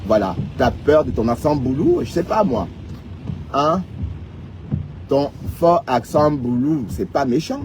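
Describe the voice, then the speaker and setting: A man's voice: mid-range voice, expressive, measured pace, pauses often, noisy background. A man from Cameroon with a Cameroonian French accent, ranting and teasing viewers in a casual live stream, often tagging on "hein". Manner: teasing, mocking, peppered with "hein".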